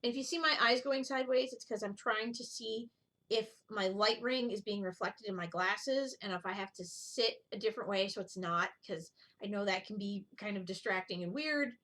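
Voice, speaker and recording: A middle-aged woman hosts a casual craft vlog in a mid-pitched voice. She talks in a rambling, informal way, showing things as she goes and wandering into side remarks. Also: moderate pace, a bit bright. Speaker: needlework video host. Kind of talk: casual chat, show-and-tell vlog.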